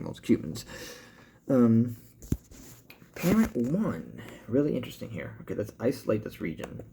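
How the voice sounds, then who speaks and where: A man with an American accent talking casually as a vlogger, thinking aloud in halting commentary as he reads through results. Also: lowish voice, gravelly, darkish tone, a little hiss or hum. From born in Cuba, grew up in the US. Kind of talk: casual update talk, reading results aloud.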